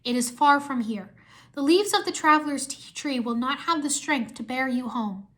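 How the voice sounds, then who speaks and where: Woman as a solemn, measured female sage. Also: sits high, animated, a bit bright. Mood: grave. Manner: formal, measured.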